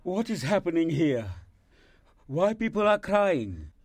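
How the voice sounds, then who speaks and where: A man playing an excitable, kindly village man in an audio drama, speaking emphatically. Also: high voice, slow, exaggerated voice. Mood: shocked, grieving.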